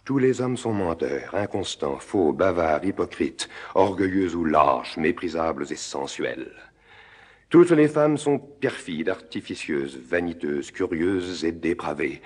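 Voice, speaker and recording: An actor playing a bitter, disillusioned lover in a French stage monologue, pronouncing each word with emphatic deliberation. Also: male voice, sits low, lively intonation, a little slow, darkish tone, slightly rough, full character voice. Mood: scornful, bitter. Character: disillusioned young lover denouncing men and women. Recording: long reverb of a hall.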